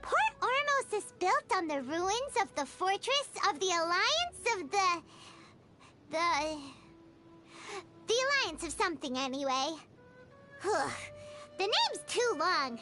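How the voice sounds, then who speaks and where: A woman voicing a tiny, childlike fairy companion in an anime video game, naive, excitable and bubbly, with a squeaky voice. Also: full character voice. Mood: puzzled, chatty. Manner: bubbly, exaggerated, speaks of self in third person.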